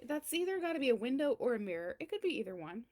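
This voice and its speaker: A woman's voice: high voice, very animated, racing, a little mellow. Chatty woman hosting a read-aloud. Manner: chatty, addresses listeners directly with questions. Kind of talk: casual chat to viewers.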